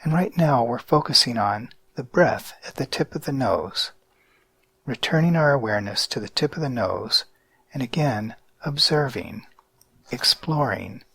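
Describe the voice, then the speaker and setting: A man guiding a mindfulness meditation in a calm, measured way with deliberate pauses. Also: mid-range voice, gravelly, husky, no room sound. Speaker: meditation guide.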